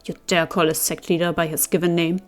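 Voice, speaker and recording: Lady doing a lower cold haughty sect leader guy, sneering, faint music. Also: little pitch movement, fairly quick, natural voice. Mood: scornful. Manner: cold, scornful. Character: haughty imperious rich male sect leader.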